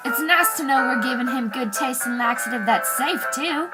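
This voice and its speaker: A woman plays a twenty-something mother in a 1940s radio commercial. Her voice is very high, earnest and theatrical as she explains things firmly over clear background music. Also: normal speed, close to an everyday voice, rough recording. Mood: earnest, persuasive. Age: teens. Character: earnest, exasperated young mother, about 25. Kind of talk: 1940s radio advertisement acting.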